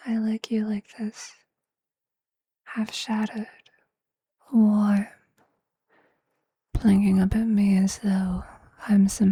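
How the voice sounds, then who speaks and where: A performer voicing a possessive, tender vampire lover in an intimate, breathy ASMR roleplay whisper, with a hushed, seductive and affectionate manner. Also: medium pitch, no echo. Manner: slow, hushed, seductive, affectionate, formal wording.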